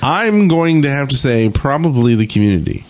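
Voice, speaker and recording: A man's voice: medium pitch, very animated, moderate pace. Casual man on a gaming podcast. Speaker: podcast host.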